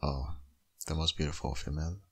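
Guy playing a soft wistful young dad still hung up on his ex, asmr roleplay, really deep n husky, hesitant. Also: steady tone. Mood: tender, nostalgic. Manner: hesitant, halting, intimate murmuring delivery. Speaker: audio roleplay voice actor.

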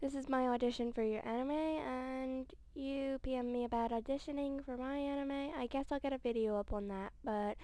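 A young woman with a high voice chats casually through an audition. She rambles and puts herself down, and the recording is close and dry. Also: steady tone, normal speed, few pauses, warm tone, no room sound, poor audio. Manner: rambling, self-deprecating. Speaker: amateur voice auditioner. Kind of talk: casual self-introduction.

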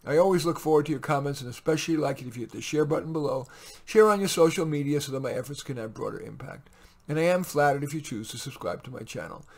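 An older man in his sixties talks straight to his webcam from a small home office. His voice sits a little high for a man, and he delivers his advice in a measured, lightly wry way. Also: a little mellow. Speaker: host. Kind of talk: scripted advice talk to camera.

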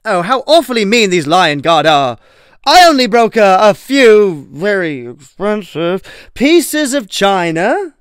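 A woman's voice: mid-range voice, very animated, slow pace, no room sound, clean studio sound. A woman playing a genteel, fussy and clumsy plant-folk lady in an audio drama, with polite, flowery speech. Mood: fretful. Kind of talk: fan audio drama acting. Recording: clearly noisy background.

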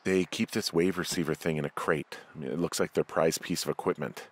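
An actor with an American accent playing a wary, level-headed fire lookout in a video game, hesitant and conversational. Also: male voice, low voice, fairly even intonation, brisk, husky. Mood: matter-of-fact. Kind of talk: naturalistic video game dialogue over walkie-talkie.

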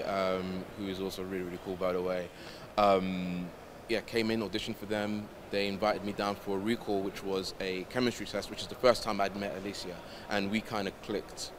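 Eager man, deep voice, noisy hall. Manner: enthusiastic, repeats words. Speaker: actor interviewed. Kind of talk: casual interview. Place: large indoor hall, event.

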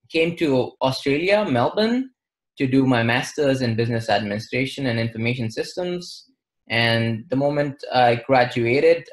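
Measured Indian-accented man, medium pitch. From western India. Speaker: interview guest, marketing advisor. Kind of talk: explanatory interview talk. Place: plain-walled room, indoors.

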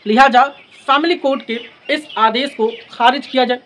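A man teaches in a notably high voice. He lectures deliberately, phrase by phrase, mixing in English legal terms and repeating points for emphasis. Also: steady tone, fairly bright tone, a little hiss or hum. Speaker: law lecturer. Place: in front of a classroom blackboard. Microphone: lapel mic.

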